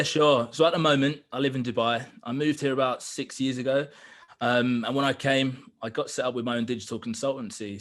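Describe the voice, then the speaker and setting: A man in his thirties from East London with an East London accent, talking openly and reflectively as a podcast guest, with plenty of ums. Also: mid-range voice. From East London, England. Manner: open, reflective, lots of ums. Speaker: podcast guest, digital consultant. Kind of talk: casual interview chat.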